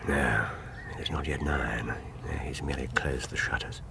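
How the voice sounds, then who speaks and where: A very deep, commanding English detective. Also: male voice, steady tone, normal speed, gravelly, very noisy. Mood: hushed, grave. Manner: precise, formal, dryly authoritative. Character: Victorian detective, incisive, commanding, theatrical male intellectual. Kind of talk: radio drama acting.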